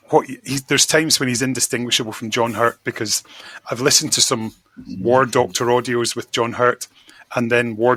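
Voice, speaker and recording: A male podcast guest with a strikingly bright, forward voice and a very dry sound. He gives his opinions conversationally, at a medium pitch. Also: no echo. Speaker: podcast guest, magazine editor. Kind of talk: casual chat, giving opinions.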